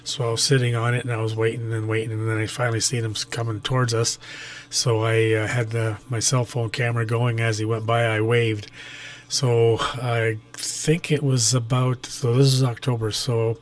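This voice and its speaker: A man's voice: mid-range voice, flat delivery, moderate pace. A man in a casual ham radio chat, telling things in a hesitant, meandering way. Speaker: amateur radio operator.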